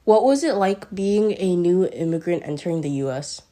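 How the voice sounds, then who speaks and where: A woman's voice: lowish voice, animated, normal speed, dark tone. Female interviewer; plain, straightforward question delivery. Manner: reads prepared questions plainly. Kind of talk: family interview.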